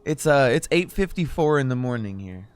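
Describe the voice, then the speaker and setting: A man's voice: medium pitch, lively intonation, normal speed. A young man hosting a casual talk show from his room. He talks loosely, swears freely and leans on lots of filler words. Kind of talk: casual unscripted chat. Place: home room, self-described cave.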